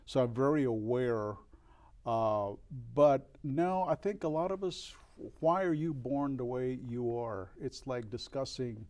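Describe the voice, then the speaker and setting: An older man from El Paso, a record store owner, speaking as an interview guest in a reflective, earnest way, with frequent restarts and pauses. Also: medium pitch, expressive, a little mellow. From El Paso, Texas. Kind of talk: candid interview conversation.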